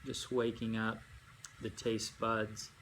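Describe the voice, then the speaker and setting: Guy teaching yoga nidra, flat lowish voice, long pauses, bit of background noise. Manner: unhurried phrasing with long pauses, gently instructive. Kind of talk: guided meditation. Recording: amateur recording with faint background noise.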